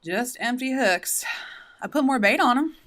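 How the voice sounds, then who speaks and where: A woman's voice: on the high side, expressive, measured pace, a bit bright. A woman playing a blunt, self-reliant backwoods daughter with a rural Southern US accent in a radio drama. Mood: matter-of-fact.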